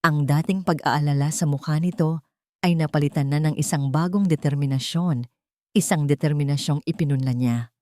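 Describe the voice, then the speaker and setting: A woman's voice: low voice, normal speed, a little mellow, no echo, studio quality. A woman with a Filipino accent reading scripted dramatic narration as a storyteller.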